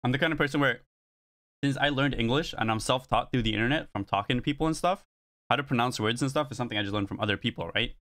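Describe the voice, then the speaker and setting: A young Swedish man with a Swedish accent, streaming a competitive team game and firing off quick, repeated callouts over a headset. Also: mid-range voice. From Sweden. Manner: quick repeated shouted callouts, then relaxed chat to viewers. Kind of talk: rapid team callouts during a competitive match. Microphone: gaming headset.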